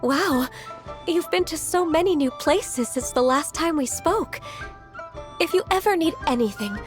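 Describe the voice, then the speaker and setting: An actress voicing a gentle, polite young woman in a video game, retelling old tales in a measured way. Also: high-pitched, animated. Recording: game audio with faint music behind.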